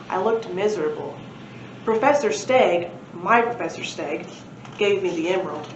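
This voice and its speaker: A woman reading a children's story aloud as a library presenter, expressively, with casual asides. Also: medium pitch. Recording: roomy, muffled, faint background noise.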